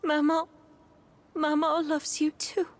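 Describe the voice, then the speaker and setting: A woman voicing a doting, sorrowful mother in a video game, speaking softly and breathily in lingering phrases. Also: high-pitched, little pitch movement, slow. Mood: soothing.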